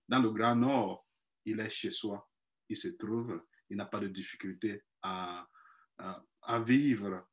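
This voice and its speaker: Earnest man with halting restarts, video call. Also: lowish voice. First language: not French; another mother tongue. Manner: earnest, hesitant with frequent restarts and repeated words. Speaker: panelist, missionary priest. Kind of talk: personal testimony in a webinar panel. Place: small office room indoors. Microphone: earphone mic on a video call.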